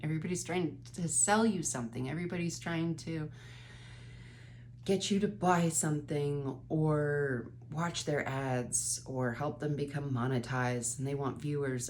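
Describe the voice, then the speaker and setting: A woman's voice: deep voice, a little mellow, a little hiss or hum. A woman in her thirties with a stuffy, congested nose, candidly rambling through a late-night vlog from her bedroom, sometimes swearing. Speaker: vlogger.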